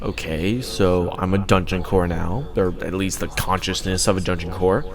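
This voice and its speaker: A man's voice: deep voice, fairly even intonation, moderate pace, crowd noise. A man playing a bewildered, wry skeleton lich in an audio drama, talking to himself in a casual, sardonic way. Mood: musing, wry. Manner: sardonic, colloquial self-talk. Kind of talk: audio drama character acting.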